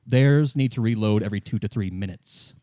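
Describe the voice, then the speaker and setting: A man running a tabletop roleplay as game master, laying things out in measured, deliberate explanatory phrases. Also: fairly low pitch, dark tone, no echo, clean studio sound.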